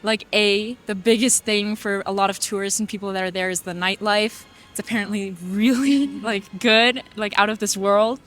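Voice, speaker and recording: A woman's voice: mid-range voice, steady tone, moderate pace, few pauses, fairly bright tone. A woman answering a street interview chattily, filling her speech with "like". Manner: chatty, peppered with "like".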